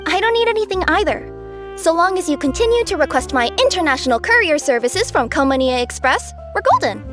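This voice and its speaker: A woman's voice: very high-pitched, big pitch swings, fairly quick. A woman voicing a bubbly young female cat-spirit courier who flusters easily, in a game cutscene. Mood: breezy. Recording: game cutscene with music behind.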